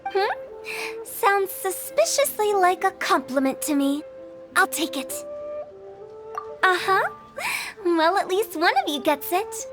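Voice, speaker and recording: A woman's voice: very high voice, sing-song, slow, long pauses, full character voice. A woman voicing a playful, self-assured, eccentric teenage girl in a video game, jaunty and theatrical, with music behind her. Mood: cheeky, pleased. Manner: jaunty, theatrical, chatty, mock-philosophical. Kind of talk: video game character acting.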